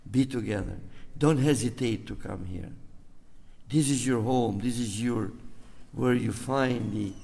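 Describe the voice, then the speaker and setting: An older man from Albania with an Albanian accent, speaking as an imam in a rambling, storytelling way to a community gathering, drifting into asides and gentle exhortation. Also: medium pitch, unhurried, vocal fry. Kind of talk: informal talk to a community gathering. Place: mosque community hall. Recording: echoey hall reverb.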